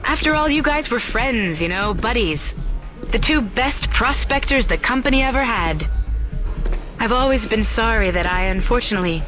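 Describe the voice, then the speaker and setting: A woman's voice: on the high side, expressive, normal speed, a bit bright, faint music behind. An actress playing a manipulative woman cajoling her former lover in a video game, with a knowing, coaxing manner. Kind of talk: video game cutscene acting.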